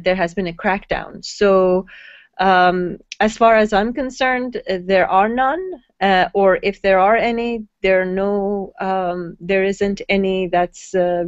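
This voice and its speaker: Measured woman in her forties on a call. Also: mid-range voice, clean tone, no room sound. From Iran. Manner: measured, hesitant with fillers. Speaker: panel guest writer. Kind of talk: video call discussion. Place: home room, indoors. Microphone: laptop webcam video call. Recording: dull muffled video call audio.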